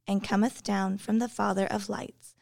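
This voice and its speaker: A woman's voice: medium pitch, little pitch movement, normal speed, vocal fry, a little mellow, no room sound. A woman who is an English teacher, giving an earnest personal devotional reflection.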